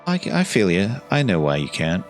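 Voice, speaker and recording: A man's voice: deep voice, wildly swooping pitch, normal speed, faint music behind. A man giving enthusiastic, informal commentary as the host of a gameplay video.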